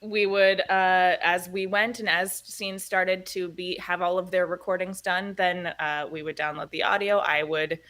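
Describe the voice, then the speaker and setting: A woman's voice: lowish voice, flat delivery, a little slow, few pauses, fairly bright tone. A woman, a podcast creator, chatting casually about editing dialogue, often laughing at herself. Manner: laughing, self-deprecating. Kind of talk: casual chat.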